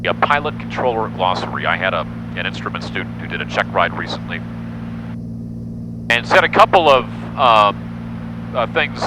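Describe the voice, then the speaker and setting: A man with an American accent, a pilot and flight instructor, explaining casually with hesitant pauses. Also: low-pitched. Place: small aircraft cockpit in flight. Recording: loud aircraft engine hum. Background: engine drone.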